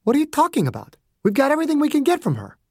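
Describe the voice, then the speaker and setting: Guy doing a raging lady doctor, high and shouty, cursing everyone out, audiobook. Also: huge pitch swings, racing, no room sound, clean studio sound, exaggerated voice. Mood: furious. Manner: shouting, swearing, accusatory. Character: furious woman doctor, small, fierce, defiant.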